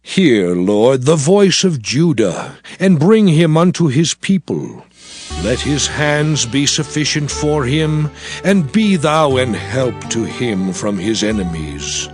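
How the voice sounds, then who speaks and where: An actor playing Moses as a solemn old prophet, declaiming scripture slowly and deliberately in a dramatized reading. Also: male voice, medium pitch, big pitch swings, over music. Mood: solemn pleading. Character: Moses, solemn old male prophet blessing and exhorting his people.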